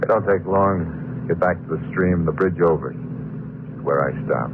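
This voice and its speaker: An actor playing a guilt-haunted, nervous murderer in an old-time radio horror drama, speaking in halting, stammering phrases. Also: male voice, deep voice. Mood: grim, confessional. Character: guilt-haunted, jittery murderer narrating his crime. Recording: noisy, muffled old radio broadcast.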